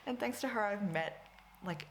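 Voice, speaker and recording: A woman's voice: medium pitch, moderate pace, slight background noise. A woman, a Tagalog speaker, chatting casually to camera as a crafting vlogger, rambling with lots of fillers and frequent laughs. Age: 20s.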